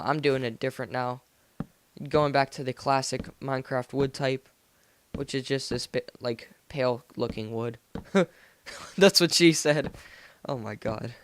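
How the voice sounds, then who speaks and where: A teenage American guy with a clean, smooth voice at an average male pitch. He talks casually over gameplay, wandering along informally with plenty of slang. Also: dry recording. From United States. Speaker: gaming video host. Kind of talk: casual game commentary. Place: voice-over recorded indoors after gameplay.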